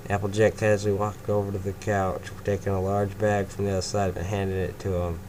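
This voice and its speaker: Male story reader, casual, low-pitched, clear background hiss. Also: flat delivery, fairly quick. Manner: casual, breaks off to laugh and comment. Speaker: fanfiction reader. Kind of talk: first-person story reading.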